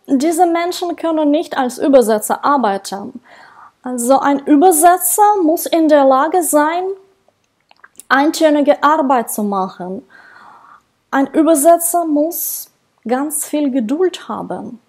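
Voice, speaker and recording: A woman in her thirties, non-native in German, talking to camera about her work as a translator in a slow, careful way with hesitations. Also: sits high. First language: not German. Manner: slow, careful speech with frequent hesitations and soft laughs. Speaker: translator and interpreter. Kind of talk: solo talk to camera about her career. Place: indoors, small room with dark backdrop. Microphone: wired earphone mic.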